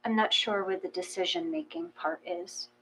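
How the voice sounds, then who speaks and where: A woman in a casual, reflective discussion, explaining gently and a little tentatively. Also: high-pitched, very animated, normal speed, creaky, rough recording. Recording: faint background hum.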